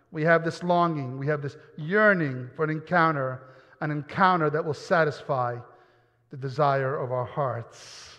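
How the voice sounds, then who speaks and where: A man's voice: a little high, fairly bright tone. A man from New York giving a personal testimony sermon in a church, earnest and often repeating words as he goes. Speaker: pastor. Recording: dull sound with long hall reverb.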